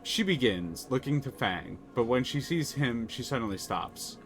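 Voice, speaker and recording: A man narrates the story text of a game during a live stream. His voice is bright and forceful, and his pitch rises and falls a great deal. He slips on a word now and then and fixes it on the fly. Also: normal speed, faint music behind. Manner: animated reading, corrects himself.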